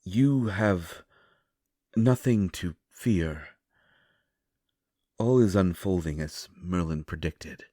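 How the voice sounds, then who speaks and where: A man playing a gentle, solemn otherworldly seer in an audio roleplay, speaking in measured, formal phrases with sorrowful reassurance. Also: deep voice, no echo, clean studio sound. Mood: apologetic, soothing. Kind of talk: scripted audio roleplay, comforting.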